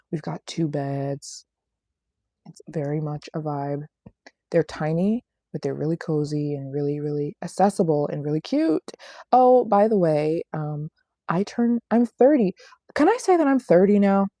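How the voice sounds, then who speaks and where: A young woman hosts a casual livestream, talking in a low register with a gravelly fry. She is chatty and playful, repeating phrases for emphasis as she answers viewers. The sound is close and dry. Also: normal speed, dark tone, no echo. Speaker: travel vlogger hosting a livestream. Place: small apartment living room in Japan.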